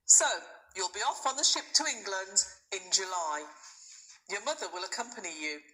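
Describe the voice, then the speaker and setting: A woman voicing a firm, matter-of-fact father lecturing his young son in a storybook, measured and authoritative. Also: mid-range voice, bright, forceful tone, low-quality recording.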